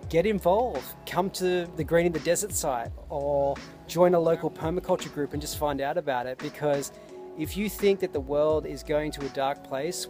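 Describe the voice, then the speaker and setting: A man talking casually and earnestly to camera outdoors, reflecting with enthusiasm. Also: on the high side. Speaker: vlogger and permaculture intern. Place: outdoors at a desert garden site. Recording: loud music behind the voice.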